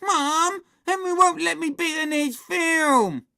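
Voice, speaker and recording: Storybook role: timid tattletale small boy, plaintive and high, very swoopy delivery , acted by an adult narrator. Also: sing-song, a bit bright. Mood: whiny, plaintive. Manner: plaintive, drawn-out protests.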